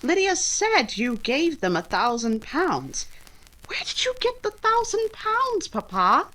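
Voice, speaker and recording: Woman playing a spirited young gentlewoman, very expressive. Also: high voice, slow, full character voice. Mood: probing. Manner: crisp, indignant, articulate. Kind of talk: old-time radio romance acting.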